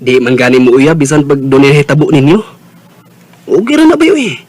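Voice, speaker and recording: A man's voice: medium pitch, expressive, brisk, a little mellow, no room sound, natural voice. An actor playing a nosy, teasing male friend in a radio drama, speaking with a Cebuano accent. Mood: prodding. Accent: Cebuano Filipino. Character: teasing, nosy male buddy of the lovesick man.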